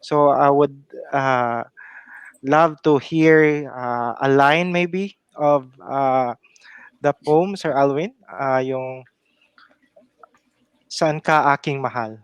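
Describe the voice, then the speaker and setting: A man's voice: medium pitch, slow pace, pauses often, clean tone, no room sound. A young man from a Bisaya-speaking region hosting a video-call interview, hesitant with many fillers, mixing English and Filipino. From Philippines, Bisaya-speaking region. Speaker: interview host. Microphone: headset on a video call.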